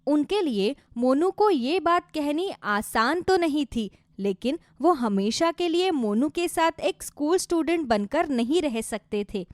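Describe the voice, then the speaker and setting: A woman's voice: high voice, expressive, a little slow, hardly any pauses, dry recording, clean studio sound. A woman narrating a scripted moral story for children.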